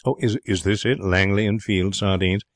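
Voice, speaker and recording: A man's voice: lowish voice, steady tone, no room sound, lightly acted. A man voicing a big, earnest, devoted young outdoorsman in a storybook. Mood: hesitant. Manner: halting at first, eloquent reading aloud.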